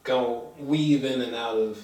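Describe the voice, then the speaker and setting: Halting man talking in an echoey room. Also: mid-range voice, a little mellow, far from the mic. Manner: halting, trails off, searches for words. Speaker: film review co-host. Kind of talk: casual unscripted chat. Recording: echoey room, away from mic.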